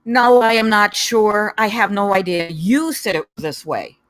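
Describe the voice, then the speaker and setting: A woman's voice: mid-range voice, lively intonation, moderate pace, a bit bright, lightly acted. A woman playing a blunt, exasperated young hiker wife in a table read.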